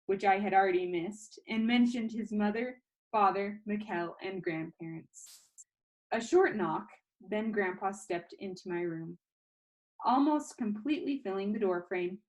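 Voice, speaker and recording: A woman reads a children's novel aloud in an expressive first-person narration, now and then stumbling slightly and correcting herself. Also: mid-range voice, poor audio.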